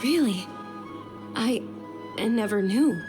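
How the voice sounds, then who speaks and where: An actress playing a gentle young noblewoman, speaking in a soft, sincere way over game music. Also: a little high, lively intonation, takes it slowly. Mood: surprised.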